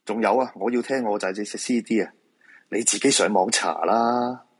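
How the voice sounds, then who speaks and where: A reluctant fortune-teller in a radio drama, acted by a man, brusque and exasperated. His voice is low and quite husky, with a Hong Kong Cantonese accent and a dismissive, protesting manner. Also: steady tone, moderate pace, natural voice. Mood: exasperated refusal. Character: reluctant male feng shui fortune-teller, married father, exasperated.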